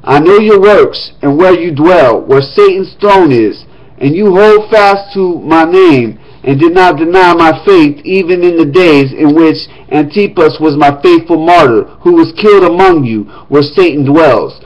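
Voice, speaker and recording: A man's voice: a little high, measured pace, lo-fi sound. A man reading the Bible aloud in an emphatic, declamatory manner, with casual touches. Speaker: scripture reader.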